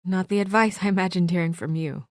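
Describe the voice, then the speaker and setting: A woman playing an earnest, weary and conscientious young administrator in a video game, speaking in a measured, serious, sometimes hesitant way. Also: lowish voice, darkish tone, no echo, studio quality, lightly acted. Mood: troubled, frustrated. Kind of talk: naturalistic video game voice acting.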